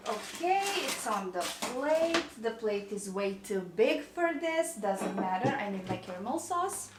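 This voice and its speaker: A woman's voice: medium pitch, roomy sound, noisy background. A young woman with a Croatian accent, chatting casually and rambling a little while she bakes at home, often laughing at herself. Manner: chatty, rambling, self-deprecating. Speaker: vlogger. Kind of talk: casual kitchen chat. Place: home kitchen.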